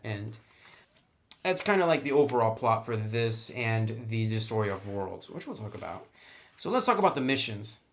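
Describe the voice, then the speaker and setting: A man reviewing a game book in a casual, unscripted way, explaining things informally and conversationally. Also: medium pitch.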